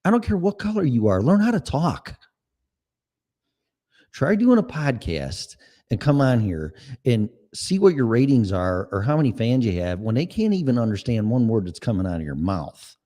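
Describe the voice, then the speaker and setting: Animated, profane Chicago-accented man ranting. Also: mid-range voice, moderate pace. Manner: profane, sarcastic, blunt. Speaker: podcast host. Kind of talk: solo podcast rant.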